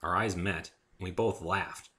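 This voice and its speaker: A man's voice: sits low, steady tone, unhurried, bright, forceful tone. A man giving a dramatic reading of his own first-person story as narrator.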